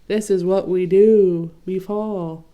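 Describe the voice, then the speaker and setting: A woman voicing a chorus of older leaves who mock the hero in a slow, drawn-out, sing-song way, in a children's storybook. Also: medium pitch, steady tone, lo-fi sound, lightly acted.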